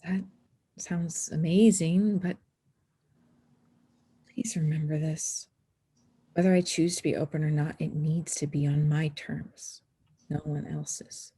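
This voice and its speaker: A woman's voice: low voice, steady tone, slow pace, pauses often, mellow, slightly rough, full character voice. A woman reading the part of a guarded, anxious but wry teenage snowboarder girl at a screenplay table read.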